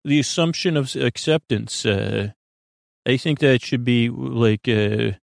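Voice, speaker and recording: A man with a creaky voice hosting a bedtime podcast in a soothing, lulling, meandering monologue that halts and drifts into tangents. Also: mid-range voice, dry recording, clean studio sound. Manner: meandering, halting, trailing off into tangents and repeats. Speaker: host of a bedtime story podcast. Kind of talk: rambling bedtime monologue to lull listeners to sleep.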